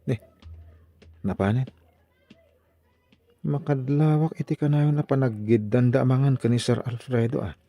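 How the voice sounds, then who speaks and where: A man's voice: mid-range voice, moderate pace, pauses often, dark tone, dry recording, close to an everyday voice. A man playing a jealous, suspicious office worker in a drama serial, with an Ilocano Filipino accent. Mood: eager, let down. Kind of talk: Ilocano drama series voice acting.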